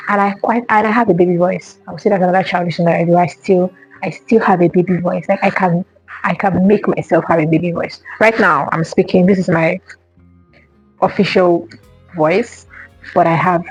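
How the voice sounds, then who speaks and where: A woman's voice: lowish voice, steady tone, a little fast, slightly rough, no echo, faint music behind, poor audio. A young woman vlogger chatting casually to camera, answering questions and repeating herself with lots of fillers.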